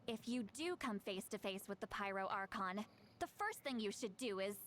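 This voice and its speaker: An actress playing a stern, exasperated young woman in a video game, scolding with brisk authority. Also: medium pitch, expressive, a bit bright, lightly acted. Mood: flustered, exasperated.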